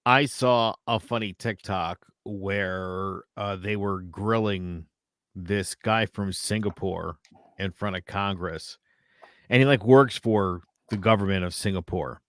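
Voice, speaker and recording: A man co-hosting a casual podcast, bringing up topics and telling stories in a relaxed way. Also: deep voice, slow, dry recording, clean studio sound. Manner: relaxed, storytelling, raises topics. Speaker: podcast co-host.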